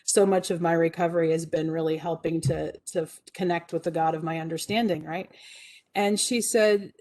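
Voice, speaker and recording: A woman's voice: deep voice, fairly even intonation, moderate pace, darkish tone. A woman in her forties giving a talk, telling a personal story in an earnest, reflective way with frequent fillers and restarts. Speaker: guest speaker.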